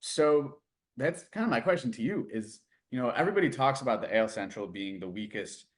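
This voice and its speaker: A man's voice: mid-range voice, expressive, brisk. American man interviewing conversationally. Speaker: producer conducting the interview.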